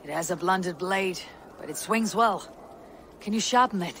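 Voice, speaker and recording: An actress playing a steady, plain-spoken female Viking warrior in a game cutscene. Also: on the low side, normal speed, slight background noise, close to an everyday voice.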